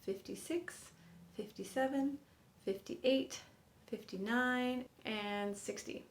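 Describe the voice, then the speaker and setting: A woman's voice: on the high side, takes it slowly, a little hiss or hum. A woman in her fifties teaching a sign language lesson, counting aloud and encouraging viewers in an upbeat way. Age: 50s. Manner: upbeat, encouraging, laughs at own slips. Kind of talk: counting aloud while demonstrating signs. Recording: echoey room.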